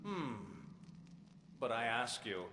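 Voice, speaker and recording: A man's voice: medium pitch, big pitch swings, slow pace, sharp tone, a little hiss or hum, exaggerated voice. A man playing a courteous, fanatical doomsday cult leader in a video game, ceremonious and unctuous. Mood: unruffled, preaching. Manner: unctuous, ceremonious, over-polite. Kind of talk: theatrical video game voice acting. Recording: echoey hall reverb over game music.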